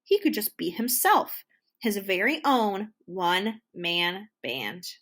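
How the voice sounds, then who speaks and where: A woman narrates a children's storybook expressively. Her pitch is lively and varied, and she takes her time, phrasing deliberately.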